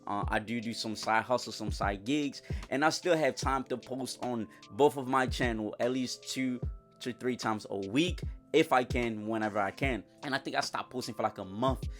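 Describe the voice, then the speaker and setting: Young man from Congo, French native, upbeat vlogger, lots of slang, middle pitch, faint music bed. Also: normal speed. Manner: energetic, slangy, addresses viewers directly. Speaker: YouTuber. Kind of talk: casual motivational vlog talk.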